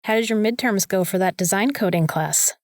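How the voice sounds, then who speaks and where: A woman's voice: medium pitch, brisk, no echo, studio quality, natural voice. A young woman acting in an audio drama as a bossy, sarcastic student who talks in an opinionated, emphatic way. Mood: haughty. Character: bossy, sarcastic young female student, vampire slayer's sidekick.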